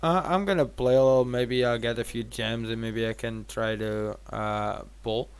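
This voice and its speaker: A man's voice: medium pitch, steady tone, moderate pace, smooth. A tired man giving casual gameplay commentary as a streamer, rambling and thinking aloud with some casual swearing. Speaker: game streamer.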